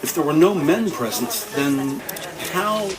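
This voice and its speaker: A man delivering deliberate, dramatic scripted narration for a police procedural. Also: fairly high pitch, expressive, distant mic, crowd noise.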